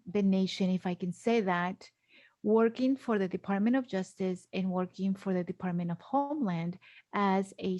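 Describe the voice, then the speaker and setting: A middle-aged woman from Guatemala shares personal stories as a podcast guest. She speaks slowly at a medium pitch, earnest and emphatic, often repeating a phrase for weight. The sound is dry, with no room echo. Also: a little mellow, lo-fi sound. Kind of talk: personal storytelling in an interview.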